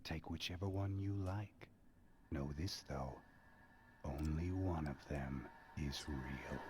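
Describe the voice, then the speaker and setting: A man voicing a lovesick, possessive aristocratic vampire in a video game cutscene, with a theatrical, drawn-out delivery. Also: extremely low pitch, darkish tone. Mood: quiet menace.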